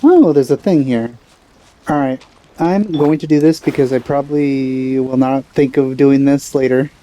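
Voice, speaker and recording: Mellow, low-key woman doing gameplay commentary. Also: unhurried, muffled recording. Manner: offhand, musing aloud. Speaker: let's play host.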